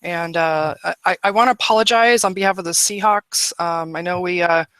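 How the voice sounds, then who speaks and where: An eager, enthusiastic woman joins a podcast as a nutritionist guest. Her voice is bright and sharp, and the sound is dry and close, with no room echo. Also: lowish voice, brisk, smooth. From Washington state, USA. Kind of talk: podcast interview.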